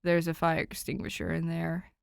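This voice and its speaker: A woman plays a dry, grumbling young man short on sleep, in a story podcast. He is deadpan and mock-formal, in a dry recording. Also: low-pitched, normal speed, creaky, clean tone, no echo.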